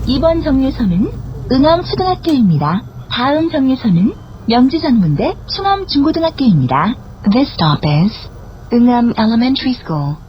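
A woman reading scripted bus stop announcements in a formal, measured, carefully enunciated way. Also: on the high side. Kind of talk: scripted public transit announcement. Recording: dull, noisy bus speaker capture. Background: bus engine and cabin noise.

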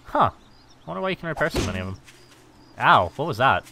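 A man's voice: medium pitch, sing-song, brisk, vocal fry. A young man gaming with a friend, teasing him with crude jokes and playful banter. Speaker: gamer. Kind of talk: casual co-op gaming chat. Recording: noisy home mic.

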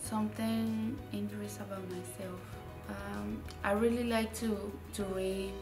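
A young woman from Mexico, a native Spanish speaker with a Mexican Spanish accent, answering interview questions in a halting way, repeating words and using many fillers. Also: mid-range voice, flat delivery, roomy sound, far from the mic, music in the background. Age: young adult. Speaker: graduating student. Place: indoor studio with dark curtain.

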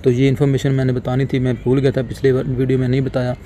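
A young man from Pakistan narrating a casual travel vlog at night outside an airport, talking calmly to viewers through a phone held at arm's length. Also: mid-range voice, fast. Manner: calm, explanatory, talks to viewers directly. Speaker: travel vlogger. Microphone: phone camera held at arm's length.

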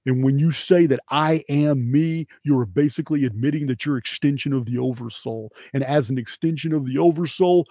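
Emphatic man, mellow close-miked voice. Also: mid-range voice, vocal fry, husky, dry recording. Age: middle-aged. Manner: emphatic, preachy, repeats phrases for emphasis. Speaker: channel host. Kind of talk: impassioned monologue to viewers. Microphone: close studio microphone on boom arm.

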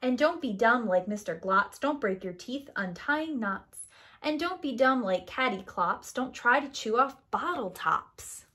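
Animated woman reading a picture book. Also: fairly high pitch. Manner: expressive, sing-song rhyming delivery with deliberate pauses. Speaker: preschool teacher reading aloud. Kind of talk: animated picture-book read-aloud for young children.

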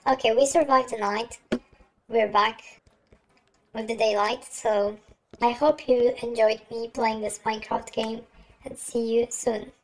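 A man's voice: steady tone, unhurried, a little hiss or hum, low-quality recording. A young man voicing a little girl through a real-time voice changer, giving casual game commentary. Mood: playful. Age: young adult. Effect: real-time pitch-raising voice changer.

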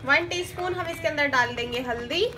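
A woman hosting a cooking channel, talking through a recipe one step at a time in a steady, teaching manner and repeating each step. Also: high voice, a little fast, music in the background. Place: home kitchen. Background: sizzling and stirring in a pan.

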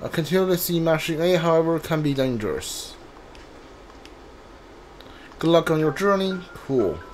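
A man's voice: a little high, animated, a little slow, a little hiss or hum. A man giving casual video game commentary, thinking aloud and reading text from the screen. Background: game music.

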